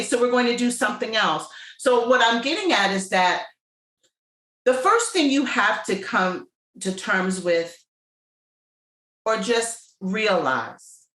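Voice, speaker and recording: A woman speaks as a guest on a podcast, slow and emphatic, with a motivational drive. Her tone is bright and forceful. Her voice carries a noticeable roomy echo. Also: medium pitch. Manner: emphatic and motivational, drawn-out delivery. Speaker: interview guest, business owner. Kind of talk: casual interview chat.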